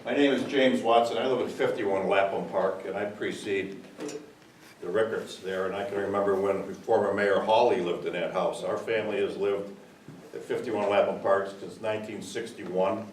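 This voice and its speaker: A man's voice: on the low side, steady tone, moderate pace. Older man speaking up at a village meeting. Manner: earnest, rambling with fillers, loud. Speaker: resident giving public comment. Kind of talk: public comment at a village meeting. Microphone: far room mic. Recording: echoey hall, distant mic, faint hiss.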